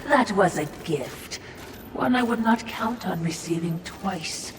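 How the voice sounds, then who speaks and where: Sly, echoing servile spirit. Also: female voice, lowish voice, noisy background. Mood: sly, knowing. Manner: formal, insinuating, deliberate. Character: Watcher, sly, servile female shadow spirit attending her mistress. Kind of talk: video game cutscene acting. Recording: echoing, distant-sounding voice over music.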